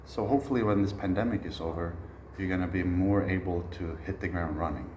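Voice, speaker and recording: Swedish-born guy in his 30s, low voice, talks music in a roomy echoey space, bit of hiss. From Sweden. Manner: relaxed, reflective, frequent "uh" pauses. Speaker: musician teaching a masterclass. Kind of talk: informal spoken lecture.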